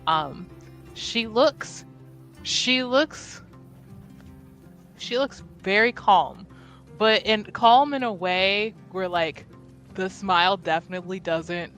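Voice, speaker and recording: A woman's voice: mid-range voice, takes it slowly, long pauses, a bit bright, faint music behind. A woman narrating her character in a tabletop roleplaying game, hesitant and thinking aloud with many fillers. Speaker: player.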